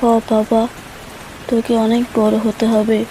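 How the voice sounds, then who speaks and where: Woman acting a dark-voiced, careworn village mother. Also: a little high, completely flat delivery, slow, low-quality recording. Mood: subdued. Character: careworn farmer's wife and mother. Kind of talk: animated folk tale acting. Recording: faint hiss and rain noise.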